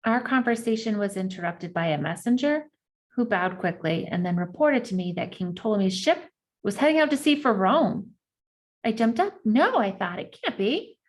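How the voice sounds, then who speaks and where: A woman's voice: mid-range voice, normal speed. Woman reading a novel aloud. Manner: expressive first-person reading with asides to listeners. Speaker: reader of a children's novel.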